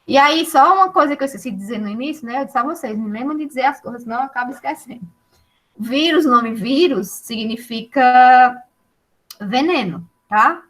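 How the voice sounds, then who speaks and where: A woman teaches an online class with a fairly high voice. She explains step by step and keeps checking with her students. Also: animated, moderate pace, fairly bright tone, poor audio. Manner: explains patiently with many checking tags like "né" and "tá".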